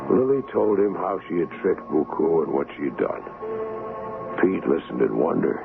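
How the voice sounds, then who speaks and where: A sceptical doctor recounting a sea tale in a 1970s radio drama. His voice is animated in pitch with noticeable creak, and his telling is measured. The broadcast is narrow and tinny, with music. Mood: reflective. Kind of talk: 1970s radio drama acting and first-person narration.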